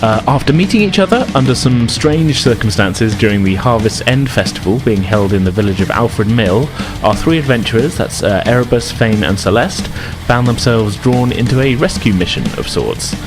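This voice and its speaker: A man's voice: medium pitch, lively intonation, normal speed, few pauses. A man hosting and game mastering a tabletop role-playing podcast in an informal, chatty way. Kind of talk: casual podcast intro and tabletop game narration. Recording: music behind the voice. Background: music.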